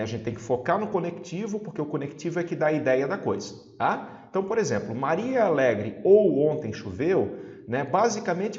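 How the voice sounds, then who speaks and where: A man's voice: a little high, a little mellow. A man with a southern Brazilian accent teaching an informal exam-prep lesson, chatty and didactic, often checking that listeners follow. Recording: roomy echo, slightly dull sound.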